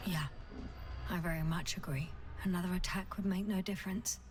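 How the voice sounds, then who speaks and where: An actress playing an earnest, level-headed scientist in a video game, with a measured, soft, breathy delivery. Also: female voice, on the low side, fairly even intonation, lightly acted. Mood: sober. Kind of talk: dramatic video game dialogue. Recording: clear background noise, ship ambience.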